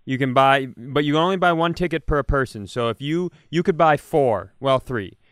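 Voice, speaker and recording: Clear-voiced man in casual comedy chat. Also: mid-range voice, normal speed, fairly bright tone, dry recording, clean studio sound. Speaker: comedy podcast co-host.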